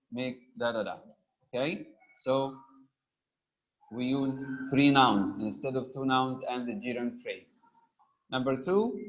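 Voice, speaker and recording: A man teaching a grammar lesson, reading example sentences slowly, stressing each item and pausing on frequent "uh" fillers. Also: medium pitch. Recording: dull lo-fi recording.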